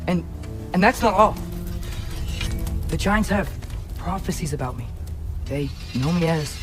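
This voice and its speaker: An earnest, stubborn teenage boy in a video game drama. His voice is youthful and on the high side, hesitant in places, with music behind it. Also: expressive, a little slow. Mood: earnest, pleading. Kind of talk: naturalistic video game acting. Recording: music under the voice.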